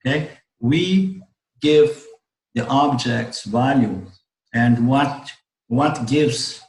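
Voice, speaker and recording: Male teacher, video-call lecture, hoarse and throat-clearing, slow halting delivery, echoey room. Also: medium pitch, darkish tone. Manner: halting, restarts phrases, repeats words while explaining. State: strained voice, clears throat often. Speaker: Vedanta teacher. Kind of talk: online class lecture. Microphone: computer mic on a video call.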